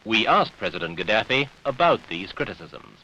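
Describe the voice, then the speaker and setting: Bright, animated British male newsreel narrator. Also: fairly low pitch, moderate pace. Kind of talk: scripted documentary narration. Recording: dull, noisy 1970s newsreel soundtrack.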